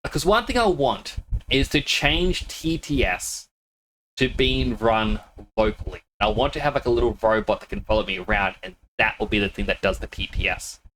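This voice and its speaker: A man's voice: lowish voice, lively intonation, normal speed, a bit bright, a little hiss or hum, poor audio. Sniffly male streamer chatting with viewers. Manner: chatty, laughs often, talks to chat. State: sniffly with a cold. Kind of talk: casual live-stream chat.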